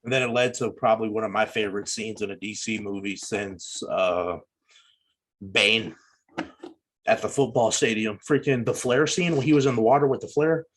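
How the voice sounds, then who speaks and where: A man's voice: lowish voice, moderate pace. A man co-hosting a podcast, chatting casually in a slangy, joking, playfully nitpicky way. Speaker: podcast co-host. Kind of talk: casual banter reviewing a movie.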